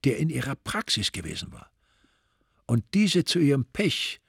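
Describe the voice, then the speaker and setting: A man narrating a crime story in a dry, wry, deadpan way. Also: medium pitch, normal speed, gravelly, breathy edge, no echo.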